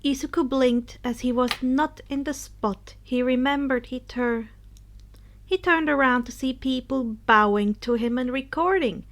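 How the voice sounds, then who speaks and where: A woman's voice: high voice, measured pace. A woman narrating fan fiction in a dramatic storytelling style.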